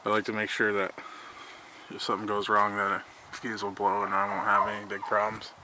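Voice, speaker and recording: A man's voice: fairly low pitch, completely flat delivery, brisk, noisy background. An American man giving an informal, laid-back walk-through for a casual vlog, recorded handheld outdoors. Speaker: vlogger, mobile welder.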